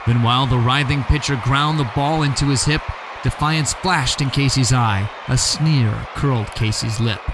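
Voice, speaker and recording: A man giving a dramatic recitation of a poem, with big swings in pitch and pace and bursts of shouting. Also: moderate pace, background noise. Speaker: poetry reader.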